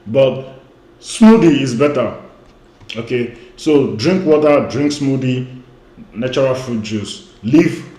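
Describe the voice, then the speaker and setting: A man's voice: medium pitch, mellow, roomy sound. A man who grew up speaking Igbo, giving an emphatic online lecture as a weight-loss coach. He repeats his key points and often says "you know".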